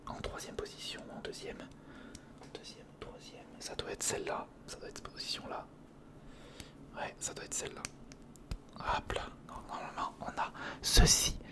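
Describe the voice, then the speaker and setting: A man whispers in a breathy, unvoiced ASMR voice, close to a sensitive mic. He talks informally and reassuringly, guiding the listener step by step through a hands-on build. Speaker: ASMR video creator. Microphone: close sensitive recorder microphone.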